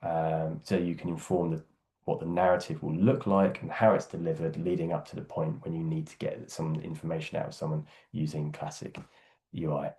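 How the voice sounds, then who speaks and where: A man's voice: rumbling low, level tone, normal speed, dark tone. A man speaking on a video call as a guest speaker, giving a loose webinar talk and answering questions, with many fillers and restarts.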